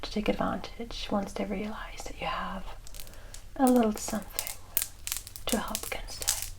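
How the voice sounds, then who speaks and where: A woman playing a brisk, confident forest witch in a whispered ASMR roleplay, breathy, softly bossy and reassuring. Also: medium pitch, steady tone, lightly acted, low-quality recording. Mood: fond, knowing. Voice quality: breathy whisper, mostly unvoiced.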